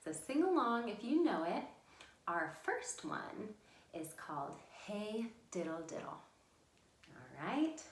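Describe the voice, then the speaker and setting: Animated woman leading children's storytime, echoey room. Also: medium pitch, takes it slowly. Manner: encouraging, simple direct address to young children; animated, sing-song delivery. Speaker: children's librarian hosting storytime. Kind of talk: children's storytime with read-aloud, songs and rhymes. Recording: roomy, echoing indoor sound.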